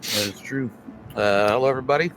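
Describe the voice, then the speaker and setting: A man's voice: medium pitch, fast, a bit bright, a little hiss or hum, rough recording. A man playing a tabletop game, casually describing his character in wordy, hesitant phrases. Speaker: player.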